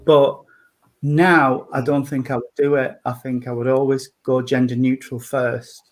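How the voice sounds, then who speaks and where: A man, a trustee, talking slowly and hesitantly in a casual group chat, with many ums and restarts. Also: mid-range voice, flat delivery. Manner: slow, hesitant, with many fillers. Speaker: trustee on a panel.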